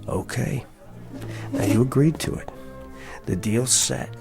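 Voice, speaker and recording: Gravelly, scheming working-class wheeler-dealer. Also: male voice, medium pitch, slow, over music. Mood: coaxing. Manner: colloquial, coaxing, sly. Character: scheming working-class garage attendant, smooth-talking deal-maker. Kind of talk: television drama acting.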